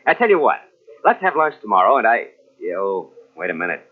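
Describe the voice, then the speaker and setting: A fast-talking smooth crook, tinny radio. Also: male voice, fairly low pitch, big pitch swings. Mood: breezy, confiding. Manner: breezy, ingratiating, confiding. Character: smooth-talking male crook posing as a government agent. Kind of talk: old-time radio drama acting. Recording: tinny old radio recording from cassette.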